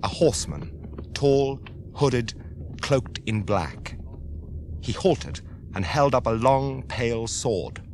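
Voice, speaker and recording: Narrator: British man, unhurried, solemn storytelling for an epic radio fantasy, average pitch. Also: expressive, background noise. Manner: grave, measured, portentous. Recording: radio drama with faint music behind.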